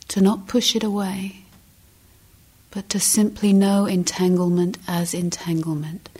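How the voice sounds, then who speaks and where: Very slow, low-voiced woman guiding meditation. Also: breathy edge. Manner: gentle, deliberate, with long pauses between short phrases. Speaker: meditation teacher. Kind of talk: guided meditation instruction.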